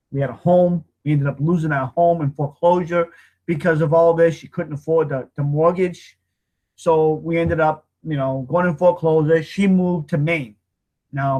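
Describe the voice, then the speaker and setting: A man in his fifties telling his own life story as a personal testimony, emotional and halting, often repeating himself and starting over. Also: fairly high pitch, low-quality recording. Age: 50s.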